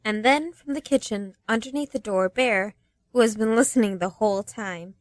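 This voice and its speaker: An actress playing the knowing storyteller who reads the scripted narration of a radio play. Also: female voice, mid-range voice, moderate pace, no echo, studio quality, lightly acted. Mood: storytelling.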